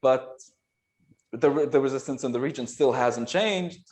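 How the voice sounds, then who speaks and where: A man speaking as a guest expert in a remote interview, analytical but halting, with frequent fillers and restarts. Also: mid-range voice, a little mellow. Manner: hesitant, many restarts and fillers, quick laughs. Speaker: guest expert on Iranian affairs.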